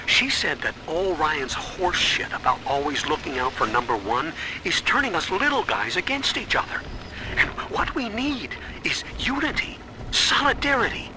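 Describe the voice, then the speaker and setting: A man's voice: fairly high pitch, big pitch swings, moderate pace. An actor playing a brash, hot-headed working-class man, talking punchily and colloquially into an audio diary. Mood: fired-up. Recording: slightly noisy audio diary.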